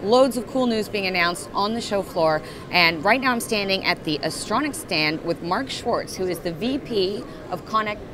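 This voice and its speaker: Woman, 30s, trade show host; chirpy, mid pitch, handheld mic, noisy expo hall. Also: lively intonation. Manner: brisk, chirpy, lots of backchannel. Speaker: interviewer and media director. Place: busy indoor expo hall.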